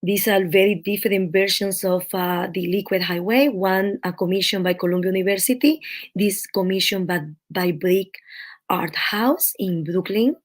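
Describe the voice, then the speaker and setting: Halting woman artist presenting slides. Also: mid-range voice. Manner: halting, with many fillers and restarts. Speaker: visual artist presenting her work. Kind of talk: slide talk at an online panel.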